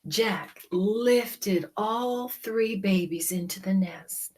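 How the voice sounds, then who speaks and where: A woman reading a children's story aloud in a dramatic, deliberate way, with hushed moments. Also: medium pitch. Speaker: storybook reader.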